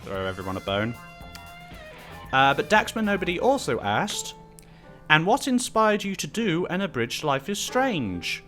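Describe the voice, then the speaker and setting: A man's voice: on the high side, big pitch swings, a little slow, sharp tone, soft background music. A man hosting a casual Q&A with friends, reading out viewer questions in a dry, teasing way.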